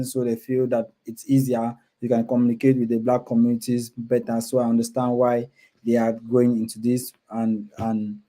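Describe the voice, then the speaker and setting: A man with a dark, mellow voice at a middle pitch hosts a casual live stream. He speaks to his viewers informally and often repeats words and short phrases as he goes. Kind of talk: casual livestream chat, reading and answering viewer comments.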